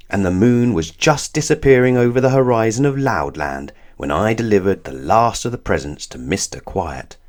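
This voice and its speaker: Man, children's storybook narration, lowish voice, very varied intonation, deliberate emphasis. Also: darkish tone. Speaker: storybook reader. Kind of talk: scripted children's story narration read aloud.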